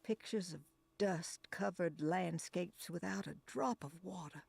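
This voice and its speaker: An actress voicing a devout 1920s woman reading her prayer diary aloud in a hushed, reverent voice that drifts into whispers. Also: on the low side, a little slow, no echo, exaggerated voice. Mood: hushed prayerful. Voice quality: husky and gravelly. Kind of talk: game voice-over narration.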